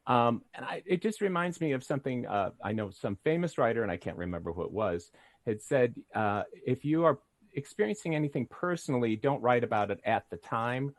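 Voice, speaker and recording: A man's voice: mid-range voice, dry recording. A man chatting casually as a panelist, in an informal, anecdotal way. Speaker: panelist, thriller author. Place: indoors on a video call.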